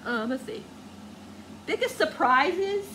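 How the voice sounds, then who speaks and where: A woman's voice: high-pitched, lively intonation, slow, bright, forceful tone, a little hiss or hum, low-quality recording. A woman book vlogger chatting casually to viewers, gushing and rambling as she searches for words.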